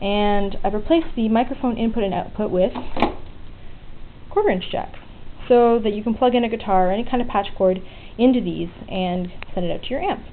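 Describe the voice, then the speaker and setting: Thin, phone-like audio with faint noise carries a woman's voice at an ordinary pitch. She talks informally and frankly, explaining as she goes through an unscripted hands-on tutorial. It sounds like a homemade hobby recording. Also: expressive, a little fast. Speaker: DIY electronics hobbyist presenting a tutorial. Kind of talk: casual unscripted hands-on demonstration.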